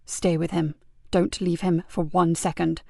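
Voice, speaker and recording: A woman voicing a kind male police sergeant who speaks in a calm, low, reassuring way. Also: a little slow, gravelly, no room sound, clean studio sound, exaggerated voice.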